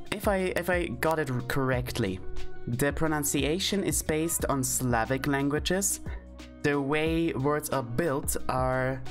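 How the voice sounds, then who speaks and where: Woman, extremely low voice, casual streamer lesson, thinking aloud, faint background music. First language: German. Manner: thinking aloud, frequent fillers and self-corrections. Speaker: streamer teaching Esperanto.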